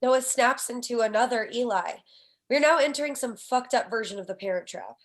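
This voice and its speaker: A woman narrates a scripted table read. She reads scene headings and action lines in a voice pitched a little high. The delivery is that of a screenplay narrator. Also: a little fast. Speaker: stage directions narrator.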